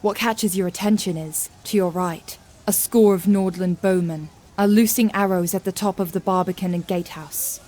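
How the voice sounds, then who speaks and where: A woman's voice: on the low side, fairly even intonation, moderate pace, hardly any pauses, mellow. A woman narrating a scripted fantasy audio drama in a grave, vivid storytelling manner.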